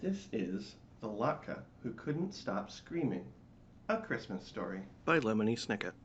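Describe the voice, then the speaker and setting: A man giving a theatrical, expressive dramatic reading of a storybook. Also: lowish voice, a little slow. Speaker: storybook reader. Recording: roomy, dull, faintly noisy home recording.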